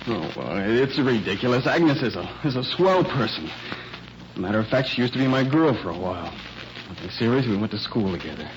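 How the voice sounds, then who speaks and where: An actor playing an earnest, lovesick young fiancé in a radio mystery, hesitant and stammering with worry. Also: male voice, on the low side, big pitch swings, a little fast. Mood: uneasy, hesitant. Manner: hesitant, stammering, self-correcting. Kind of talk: 1940s radio mystery acting. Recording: muffled, faintly noisy vintage radio recording.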